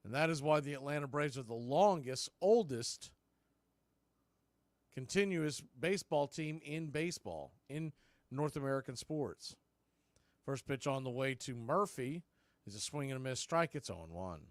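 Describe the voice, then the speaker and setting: A man's voice: medium pitch, animated, normal speed, dry recording. A man doing radio baseball play-by-play as the announcer, speaking in short, clipped phrases.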